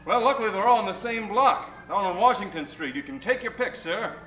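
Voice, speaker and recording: Man acting a bright, high-voiced folksy cab driver. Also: animated, brisk, echoey room, rough recording. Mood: obliging. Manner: folksy, theatrical. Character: chatty, eager small-town male cab driver. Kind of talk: old-time radio comedy acting.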